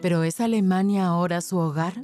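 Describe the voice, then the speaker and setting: A woman's voice: lowish voice, fairly even intonation, fairly quick, darkish tone, soft background music. A woman reading scripted narration as the narrator of a documentary.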